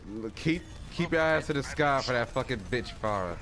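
A man's voice: mid-range voice, animated, normal speed, over a noisy crowd. A man playing an online shooter with friends, cursing and calling out plays in casual chat. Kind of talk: casual profane game chat.

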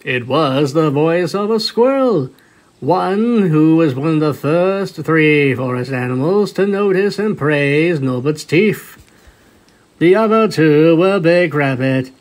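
A man reading a story aloud as a scripted narration. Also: on the high side. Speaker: fanfiction reader, narrator.

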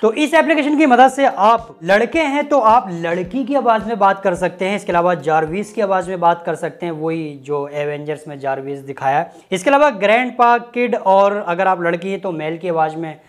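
A man's voice: high-pitched, moderate pace, few pauses. A man, hosting a casual, chatty tech review with joking, colloquial asides. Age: 20s. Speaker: YouTube tech host. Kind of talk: casual app review to camera. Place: small home studio room with desk. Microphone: lapel mic.

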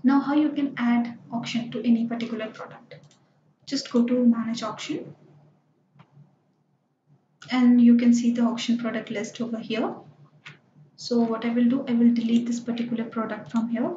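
A woman narrating a screen-recorded software tutorial, explaining each step plainly with lots of "so" and "like". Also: a little high, little pitch movement, moderate pace, long pauses, slight background noise. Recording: dull, roomy screencast voice-over.

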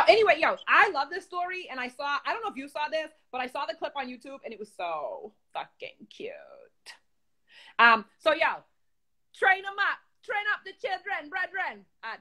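Man playing a sassy young puppet lady with a New York accent, high bright voice that swings all over, loud and slangy. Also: huge pitch swings, normal speed, full character voice. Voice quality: loud, profane, slangy, bubbly. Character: sassy young woman puppet news host, brash. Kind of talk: comedic puppet news chat.

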